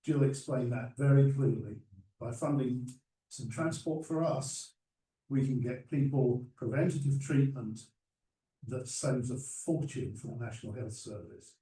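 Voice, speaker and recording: A man in his sixties speaking as a panelist, measured and persuasive. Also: mid-range voice, dark tone. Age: 60s. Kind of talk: panel discussion. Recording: roomy hall, mic at a distance.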